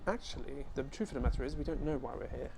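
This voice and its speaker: A man voicing a calm, polite gentleman professor with formal, precise speech, for a fan voice-over of a video game. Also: mid-range voice, creaky, over music, close to an everyday voice. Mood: gentle concern. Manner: formal, measured, precise wording.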